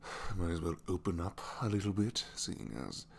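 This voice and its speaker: A man's voice: low voice, big pitch swings, moderate pace, gravelly, heavily acted. A man voicing a reluctant captured supervillain, dry, sheepish and complaining, in a hesitant, rambling way, for an intimate ASMR roleplay. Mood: resigned, confiding. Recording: faint background noise.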